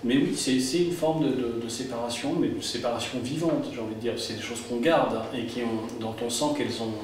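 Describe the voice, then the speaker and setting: A man's voice: medium pitch, fairly even intonation, a little fast. A middle-aged man, an author, talking reflectively and searching for his words at a book evening. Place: indoor meeting room at a public book event. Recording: echoey room, distant mic, background noise.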